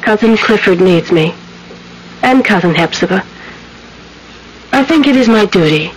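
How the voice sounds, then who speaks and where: A woman's voice: mid-range voice, lively intonation, measured pace, a little hiss or hum, close to an everyday voice, low-quality recording. Sweet young woman in vintage radio drama acted. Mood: bright, dutiful. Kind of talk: old-time radio drama acting. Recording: old radio broadcast with faint music.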